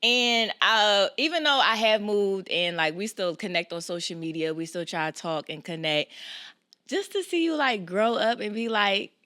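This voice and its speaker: A woman's voice: mid-range voice, moderate pace, dry recording, clean studio sound. A woman in her twenties hosting a podcast, chatting casually with slang and frequent laughter. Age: 20s. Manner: animated, laughs a lot, slangy. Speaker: podcast host. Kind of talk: casual chat.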